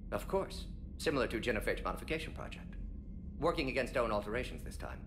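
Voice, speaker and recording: Game casting: brisk alien scientist, middling pitch, racing telegraphic delivery, self-assured. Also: male voice, very animated. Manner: clipped telegraphic phrasing, drops articles and pronouns. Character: brisk, confident male alien scientist and doctor. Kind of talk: video game voice acting. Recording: music behind the voice.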